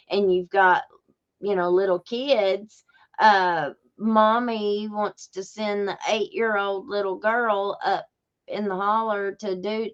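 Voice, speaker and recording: Slow-talking Appalachian woman, folksy storyteller. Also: mid-range voice, dull audio. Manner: folksy, emphatic, repetitive storytelling. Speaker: podcast host. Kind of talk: casual true-crime podcast talk.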